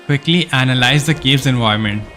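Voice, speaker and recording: A man's voice: medium pitch, a little fast, a bit bright, faint music behind. A man live streaming a game, reading its story text aloud with casual asides, sometimes stumbling over words and correcting himself.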